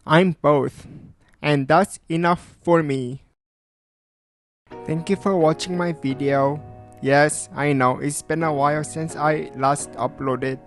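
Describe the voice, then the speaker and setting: Korean-accented man, slightly high voice. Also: fairly even intonation, slow pace, dry recording, faint music behind. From South Korea. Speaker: animation storytime creator. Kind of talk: reflective personal storytime narration.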